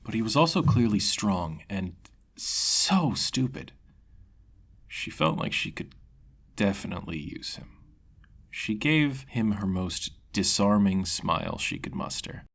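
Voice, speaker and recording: Husky-voiced man narrating fantasy. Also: on the low side, very animated, measured pace, a bit bright. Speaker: storyteller. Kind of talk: casual fantasy story narration.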